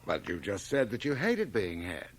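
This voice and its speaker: A blustering, exasperated board chairman around fifty in a 1980 radio drama. His delivery is emphatic and halting by turns, with very lively pitch. It is an old cassette transfer of a broadcast. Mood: awkward, pressured. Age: middle-aged. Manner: emphatic, hesitant then blustering. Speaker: actor.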